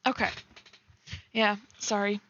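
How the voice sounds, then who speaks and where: A woman's voice: medium pitch, fairly even intonation, slow pace, a bit bright. A young woman chatting casually as she co-hosts a fan fiction reading, rambling tentatively and leaning on filler words. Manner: rambling, tentative, heavy use of "like". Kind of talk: casual chat with read-aloud passages. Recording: dry, slightly dull lo-fi mic.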